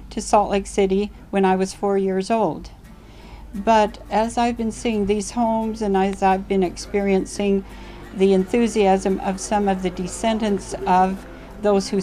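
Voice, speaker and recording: A woman speaking reflectively and sincerely in an interview, measured and hesitant. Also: medium pitch, mellow, slight background noise.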